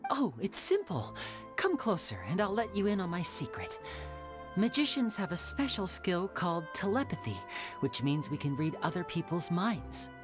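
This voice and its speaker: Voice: medium pitch, big pitch swings, moderate pace, natural voice. An actor voicing a suave young male stage magician in a video game, playful, coaxing and showman-like. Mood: charming. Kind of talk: smooth video game voice acting. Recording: music behind the voice.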